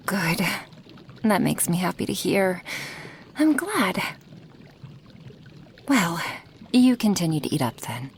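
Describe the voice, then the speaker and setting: A woman voicing an affectionate female dragon who brews potions, in a soft, intimate ASMR roleplay with breathy half-whispers and sighs. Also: fairly low pitch, slight background noise. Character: affectionate female dragon, a potion maker tending her human guest.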